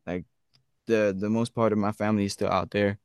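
Young raised male guest, relaxed slangy chat, low clear voice. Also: brisk, no room sound, clean studio sound. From Switzerland. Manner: laid-back slang, says "bro" often. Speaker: guest artist. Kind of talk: casual interview chat.

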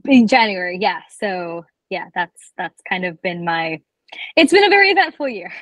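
A woman's voice: medium pitch, sing-song, moderate pace, fairly bright tone, no room sound. A young adult woman from Bangladesh, a guest telling her story in a thoughtful, earnest way. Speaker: guest, immigrant rights advocate. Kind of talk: casual interview.

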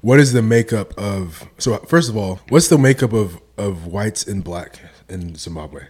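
A man from Nigeria hosts a relaxed, slangy conversation. His voice is fairly low, and he asks his questions in an easygoing way. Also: unhurried, breathy edge. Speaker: host. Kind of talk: casual chat.

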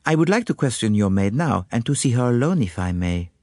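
Man doing a foreign male detective, courteous, flattering, formal phrasing, very animated pitch, audiobook character. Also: a little fast, dry recording, studio quality. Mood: polite. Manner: formal, precise, coaxing.

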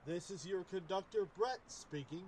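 A man's voice: high-pitched, moderate pace, darkish tone, slight background noise, close to an everyday voice. A man playing a courteous train conductor giving a formal welcoming announcement in an audio drama. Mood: cheerful, official.

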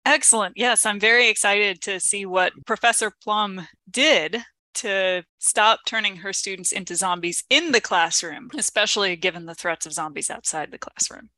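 A woman's voice: mid-range voice, moderate pace, bright, forceful tone, no echo. A woman co-hosting a podcast in a scripted, conversational style. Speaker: podcast co-host.

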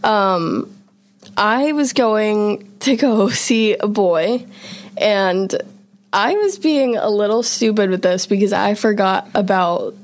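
A woman's voice: mid-range voice, expressive, slow. A young woman co-hosting a casual podcast, telling an embarrassing story with giggles and self-deprecating asides. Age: young adult. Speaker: podcast co-host. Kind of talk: casual chat.

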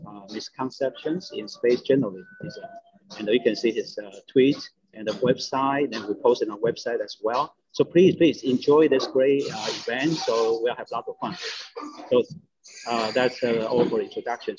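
Halting man hosting, muffled with crowd. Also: medium pitch. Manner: halting, many fillers. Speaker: host. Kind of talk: introducing a guest speaker. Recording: dull lo-fi audio with crowd noise.

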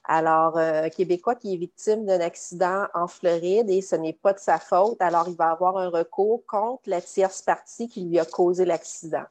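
Woman in her fifties, guest expert, lowish and measured. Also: steady tone, darkish tone. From Quebec. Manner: measured, explanatory, many fillers. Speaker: guest lawyer. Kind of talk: expert explanation in a podcast interview.

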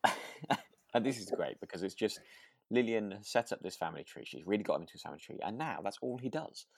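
A man with a low voice co-hosts a casual recap podcast, recorded dry and close. He chats loosely and hesitantly, often searching for the right words. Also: lively intonation, fast talker, gravelly, no echo. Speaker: podcast co-host. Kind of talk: casual chat recapping a radio drama.

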